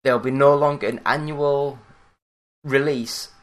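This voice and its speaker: A man with a Yorkshire accent hosting a solo gaming news podcast, talking in a fairly monotone, plain-spoken way. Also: mid-range voice, a little slow. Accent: Yorkshire English. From Yorkshire, England. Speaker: podcast host.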